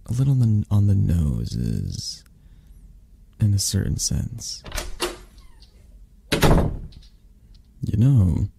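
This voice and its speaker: Very deep, mellow, tender dragon boyfriend played by a man. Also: creaky, slightly rough, no room sound, full character voice. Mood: playful, teasing. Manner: soft, teasing, reassuring, hesitant pauses. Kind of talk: intimate ASMR roleplay. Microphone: close, dry microphone.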